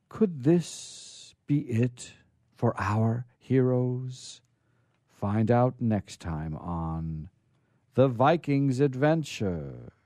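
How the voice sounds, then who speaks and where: A man hosting a radio show, reading stories aloud in an informal, halting but theatrical way. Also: mid-range voice, warm tone, dry recording, studio quality. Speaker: radio show host and storyteller.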